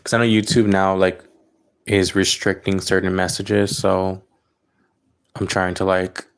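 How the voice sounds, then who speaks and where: A man with a somewhat low voice hosts a casual livestream as a reader, talking straight to his viewers. He is chatty and loose, with plenty of "like" fillers as he answers comments one by one. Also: flat delivery, unhurried, creaky, clear, resonant tone. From California. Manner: loose and chatty, leans on "like" fillers, reacts to chat as he reads it. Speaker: psychic reader hosting a livestream.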